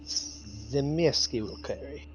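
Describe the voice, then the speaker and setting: A man's voice: mid-range voice, slow, slight background noise. A man speaking as a player in a tabletop roleplaying game, in casual table talk.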